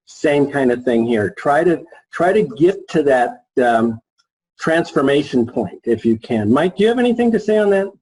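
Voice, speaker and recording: A man's voice: on the high side, expressive, moderate pace, darkish tone, breathy edge, poor audio. A man giving unscripted explanations with examples as a marketing expert in a panel conversation.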